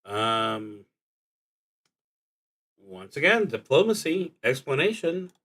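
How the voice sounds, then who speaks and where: A man streaming a solo tabletop roleplaying game, thinking aloud casually and often pausing mid-sentence. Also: mid-range voice, big pitch swings, fairly bright tone. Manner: halting, with frequent pauses mid-sentence.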